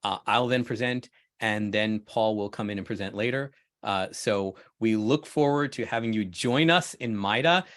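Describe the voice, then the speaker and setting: A man's voice: fairly low pitch, normal speed, fairly bright tone. A man hosting an online presentation, giving an informal, welcoming introduction before handing over to another presenter. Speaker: host and co-presenter. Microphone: video call.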